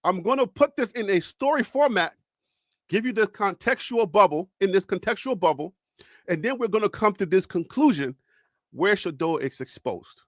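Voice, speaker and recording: Brief: Liberian-accented man, sports stream host, raised pitch, dry close mic, loose storyteller. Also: lively intonation, moderate pace, fairly bright tone, no echo. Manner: storytelling, chatty with audience. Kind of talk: live-stream sports talk. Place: home studio.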